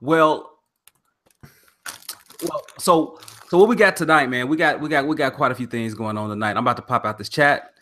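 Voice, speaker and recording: Slangy animated baritone man host. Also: normal speed. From Oklahoma. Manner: slangy, animated, laughs often. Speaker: podcast host. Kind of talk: casual podcast chat.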